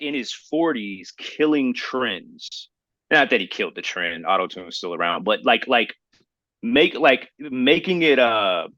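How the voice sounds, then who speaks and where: Bright, forceful low-voiced man debating casually. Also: no echo. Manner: emphatic, slangy, repeats himself for emphasis. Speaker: podcast host. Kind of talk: casual hip-hop debate.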